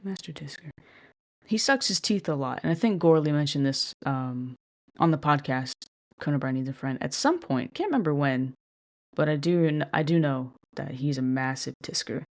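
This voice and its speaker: Monotone woman hosting a casual podcast. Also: low voice, moderate pace. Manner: self-described monotone delivery; chatty, self-deprecating, mild swearing. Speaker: podcast host. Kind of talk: casual solo commentary.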